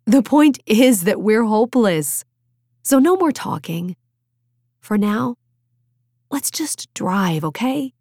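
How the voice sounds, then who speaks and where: A practical teenage girl, played by a woman narrator speaks frankly and decisively, gentle but firm with a teasing streak. It is audiobook dialogue, recorded close and dry. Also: mid-range voice, lively intonation, slow pace, pauses often, dry recording, pitched above the performer's own voice. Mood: exasperated.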